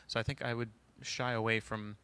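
A man's voice: lowish voice, level tone, brisk, sharp tone, clean tone. A man speaking hesitantly as a panelist in a large lecture hall, on a handheld mic, with many restarts. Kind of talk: panel discussion.

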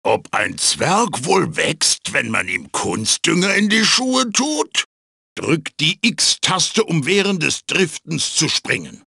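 A magical talking mask, the wise but fussy mentor of a cartoonish video game. The voice is bright and gravelly, swinging very widely in pitch. Every phrase is theatrical and emphatic, recorded close and dry. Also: male voice, very animated, takes it slowly, no room sound, exaggerated voice. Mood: wry. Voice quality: raspy, gravelly. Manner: theatrical, emphatic, swooping delivery. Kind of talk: German video game voice acting, tutorial hints and quips.

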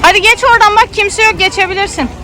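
A woman's voice: very high-pitched, quick pace, pushed and bright. A woman with an Istanbul Turkish accent giving casual, chatty vlog commentary, talking both to a child and to viewers. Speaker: vlogger parent filming a child. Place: outdoor children's theme park. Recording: busy outdoor crowd noise. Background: crowd chatter.

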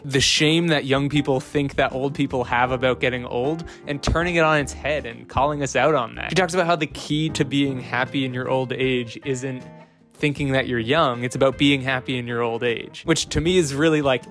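A man's voice: medium pitch, steady tone, fairly quick, a bit bright, soft background music. A young man in his twenties hosting a casual book review vlog, talking earnestly with fillers and restarts into a close studio mic in a small home room. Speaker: book channel host. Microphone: boom-mounted studio mic close in front.

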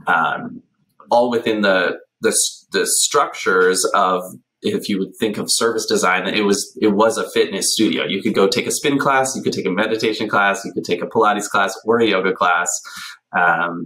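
Brief: man in his thirties, deep voice, animated podcast guest on a video call, chatty with many ums. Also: slight background noise, rough recording. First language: English. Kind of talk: casual conversational storytelling. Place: small bright room at home. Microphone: headphones on a video call.

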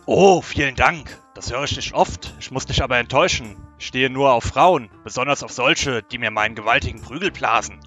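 A man's voice: mid-range voice, brisk, hardly any pauses, a bit bright, soft background music, close to an everyday voice. A man voicing a blunt, crude long-haired woman in a parody radio play.

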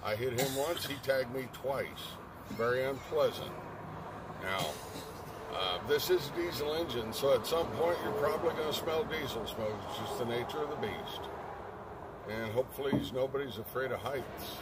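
A man talks riders through an outdoor tourist train ride, folksy and wry, cracking small jokes between warnings. His voice sits a little away from the mic. Loud engine and outdoor noise runs underneath. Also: mid-range voice, moderate pace, recorded from a distance. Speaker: tour train operator. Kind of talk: joking tour guide patter.

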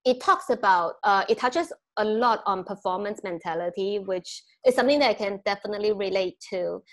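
A woman's voice: mid-range voice, animated, a little fast. A woman, a TV presenter chatting and laughing on a video call from home, her nose a little congested. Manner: laughs often, mixes in Mandarin. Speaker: TV presenter, guest. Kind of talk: casual video-call chat. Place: home room, indoors. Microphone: video call, earphone mic.

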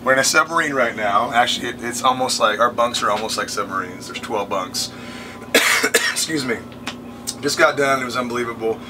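A man's voice: mid-range voice, fairly quick, background noise. A man in his thirties losing his voice, talking earnestly into a phone on a tour bus after a show. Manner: earnest, rambling, with fillers and restarts. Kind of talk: casual livestream talk. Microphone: phone held at arm's length.

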